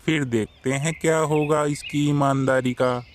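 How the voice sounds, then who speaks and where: Man voicing sly, slow-talking crow thief. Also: fairly high pitch, steady tone, slight background noise, close to an everyday voice. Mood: sly, scheming. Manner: scheming, conspiratorial. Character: sly, scheming adult male crow thief. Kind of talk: Hindi cartoon moral story voice acting.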